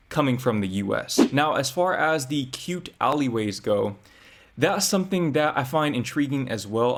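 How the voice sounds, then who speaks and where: A man talking through his thoughts as a reaction vlogger, reflective and conversational. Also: mid-range voice. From United States. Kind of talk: casual commentary.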